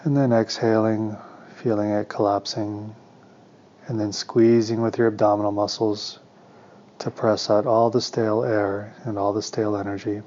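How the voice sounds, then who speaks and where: Man, meditation guide, lowish pitch, flat soothing intonation, unhurried pauses, calm instructional delivery, slightly muffled sound. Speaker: meditation teacher.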